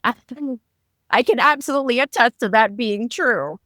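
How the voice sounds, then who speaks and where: A woman with a fairly high voice hosts a conversational interview. She addresses her guests as a podcast interviewer, recorded very dry with no room sound. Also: lively intonation, normal speed, fairly bright tone, no room sound.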